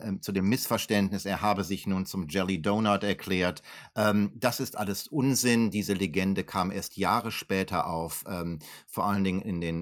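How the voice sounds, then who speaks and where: A man with a fairly low voice answers as an expert guest in an interview. He explains in an articulate, educated way, unscripted, often hesitating and rephrasing as he goes. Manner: articulate, educated phrasing with frequent hesitations and self-corrections. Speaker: historian being interviewed as an expert.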